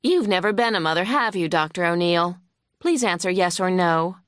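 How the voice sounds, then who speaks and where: A woman's voice: on the low side, expressive, moderate pace, clean tone, no room sound, studio quality, natural voice. A woman voicing a huffy, sarcastic female lawyer cross-examining a witness in an audiobook. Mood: huffy, badgering.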